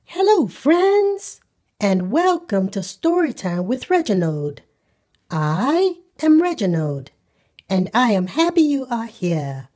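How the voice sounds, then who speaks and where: A woman voicing a friendly furry animal puppet, reading a storybook to young children with cheerful, deliberate, singsong enunciation over music. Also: fairly high pitch, big pitch swings, dark tone, heavily acted. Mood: cheerful, welcoming. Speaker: children's read-aloud host. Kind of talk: slow storybook read-aloud for young children. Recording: music under the voice.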